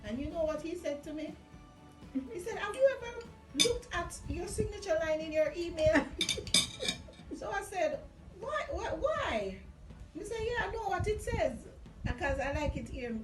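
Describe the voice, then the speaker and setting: A woman's voice: very high voice, animated, moderate pace. A middle-aged woman with a Caribbean accent telling a personal story in casual chat, emphatic and repeating phrases for effect. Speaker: vlog guest sharing personal testimony. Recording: roomy, distant mic, music underneath. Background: music.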